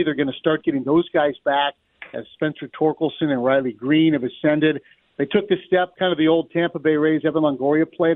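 A middle-aged man with a fairly high voice talks baseball as a radio guest. He is conversational and wry, analysing like a play-by-play announcer. The sound is a thin, phone-like line with some room echo. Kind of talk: unscripted sports analysis in a live radio interview. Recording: roomy, thin narrow-band phone line.

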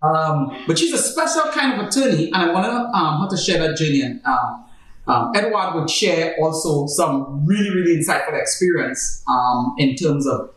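A man's voice: on the high side, lively intonation, normal speed, a bit bright. A man, a pastor and host, explaining a Bible study theme in a conversational way with playful asides. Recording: very echoey room, far from the mic.

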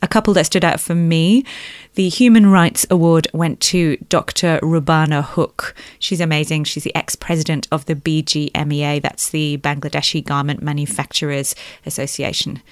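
A woman's voice: low-pitched, flat delivery, normal speed, dry recording. Chatty Australian woman, dry sound. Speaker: podcast host. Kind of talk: podcast hosting.

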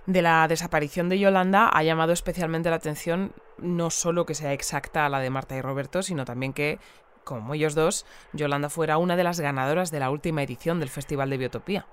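An actress playing a composed, articulate radio news interviewer in an audio drama. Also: female voice, low voice, fairly even intonation, fast talker, smooth, close to an everyday voice. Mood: courteous, inquiring. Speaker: radio presenter.

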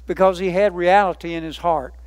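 A man's voice: high-pitched, steady tone, a little fast, no room sound. A man preaching a sermon, emphatic, building lists by repetition and asking rhetorical questions.

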